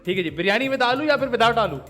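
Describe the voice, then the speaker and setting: A man hosting a comedy talk show with a Pakistani Urdu accent, firing quick either-or questions and teasing his guest with laughs. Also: very high voice, expressive, sharp tone, faint music behind. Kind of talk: playful rapid-fire quiz questions.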